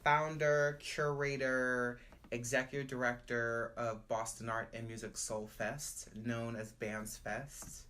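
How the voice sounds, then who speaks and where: A woman who founded and directs an arts nonprofit, telling her story in an emphatic, expressive way in an interview. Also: a bass voice, slow. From United States.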